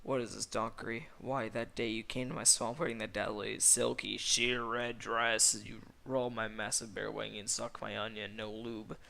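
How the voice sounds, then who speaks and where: Man acting a dramatic, creaky-voiced ogre. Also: fairly low pitch, flat delivery, moderate pace, hardly any pauses, bright, forceful tone. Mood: distressed. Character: emotional, lovelorn male ogre in parody story.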